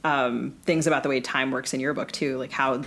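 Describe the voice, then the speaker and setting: A woman's voice: a bass voice, fairly even intonation, brisk, fairly bright tone. Analytical woman co-hosting a literary podcast. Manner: analytical, wordy. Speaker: podcast co-host and fiction writer. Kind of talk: casual literary discussion.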